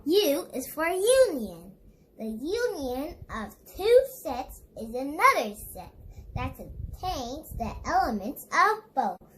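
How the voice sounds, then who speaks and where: A woman's voice: high-pitched, a little hiss or hum. A female reader reading an educational children's book aloud, stumbling over words now and then. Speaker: read-along book reader.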